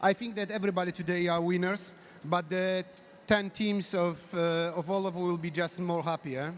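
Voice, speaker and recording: High-voiced chef in echoey hall. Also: male voice, steady tone, moderate pace. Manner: friendly, non-native phrasing. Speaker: competition team coach. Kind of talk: interview answers. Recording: long echo of a big hall.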